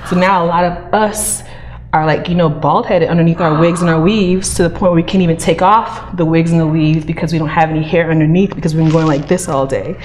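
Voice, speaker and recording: A woman's voice: deep voice, fairly even intonation, a little mellow, slight background noise. A Nigerian-born woman with an American accent, earnestly telling her story as a talk show guest. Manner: fast, earnest storytelling with laughs. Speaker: guest, chemist and founder.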